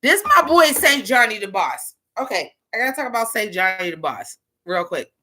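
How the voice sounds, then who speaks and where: A woman rapper with a deep, bass-heavy voice, chatting loosely to her viewers as she reacts to her own old album. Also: very animated, moderate pace, sharp tone. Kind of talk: casual reaction commentary.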